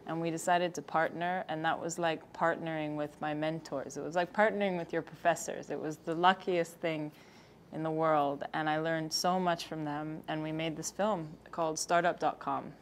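A woman in her thirties answers interview questions about her work, thoughtful and a little halting as she recounts her path. Her voice sits low for a woman, with a faint hum of room noise underneath. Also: steady tone. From Egypt. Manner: thoughtful, slightly halting storytelling with restarts. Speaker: guest filmmaker. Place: studio set with screens behind.

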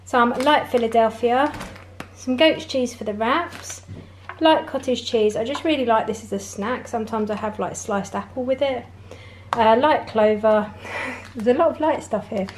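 A woman's voice: a little high, moderate pace, slight background noise. A woman, chatting casually through a shopping haul from home, with frequent laughs. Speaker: vlogger. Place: home conservatory, indoors.

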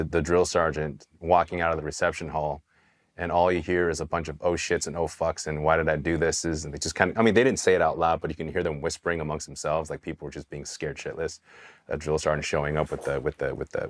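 A man in his thirties, from Taiwan and raised in California, telling his Army story in a candid, relaxed interview with casual swearing and frequent restarts. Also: a bass voice. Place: dark studio set, indoors. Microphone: hidden mic, seated on camera.

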